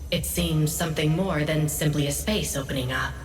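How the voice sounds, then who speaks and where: A woman playing a composed intelligence analyst in a video game, giving briefings in a measured, serious, informative way. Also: low-pitched, slight background noise, close to an everyday voice. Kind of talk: scripted game dialogue. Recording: echoey hall, distant, faint music behind.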